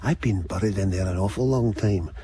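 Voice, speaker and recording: A man's voice: deep voice, a little fast, mellow, slight background noise, lightly acted. An actor playing a sardonic, cocky older Scottish man who is someone's unconscious mind, bantering sarcastically in a radio drama.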